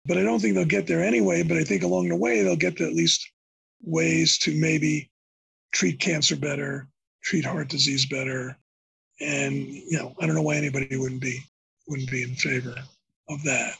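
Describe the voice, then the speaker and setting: Gravelly older man, husky and creaky. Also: on the high side, fairly even intonation, moderate pace, a bit bright, poor audio. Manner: thoughtful, meandering, restarts phrases. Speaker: interview guest, psychology professor. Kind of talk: conversational podcast interview.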